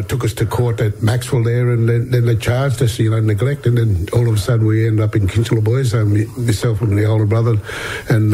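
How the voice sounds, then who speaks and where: A man, a guest on a radio interview, speaking haltingly with many repeated words. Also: fairly low pitch, dark tone, slight background noise. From north coast of New South Wales, Australia. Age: 60s. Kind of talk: personal testimony in a radio interview.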